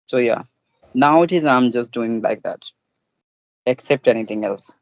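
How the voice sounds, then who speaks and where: A man's voice: medium pitch, creaky, no room sound. Indian man on a dull, dry line. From Bihar, India. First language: Hindi. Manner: careful, non-standard grammar, halting. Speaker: schoolteacher. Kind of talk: casual conversation practice.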